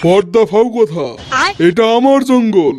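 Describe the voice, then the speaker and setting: A man's voice: high-pitched, animated, a little slow, background noise. A man voicing a boastful tiger king in a comic cartoon, pompous and threatening. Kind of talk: Bengali comic cartoon dialogue. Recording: loud music behind the voice.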